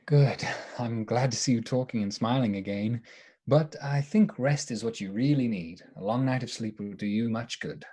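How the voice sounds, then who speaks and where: A man acting in a radio play as an earnest, anxious doctor devoted to the woman he loves, with a halting, emotionally open delivery. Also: mid-range voice, mellow, husky, lightly acted. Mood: tender, reassuring.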